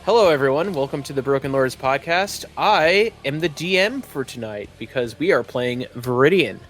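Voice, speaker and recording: A man's voice: medium pitch, a bit bright. A man hosting as game master, narrating a tabletop roleplaying game descriptively with wry asides. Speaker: game master and podcast host.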